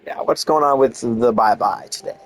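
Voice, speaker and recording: A man doing casual live-stream game commentary, soft-spoken yet projecting, rambling and thinking aloud. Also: medium pitch, moderate pace, a little hiss or hum.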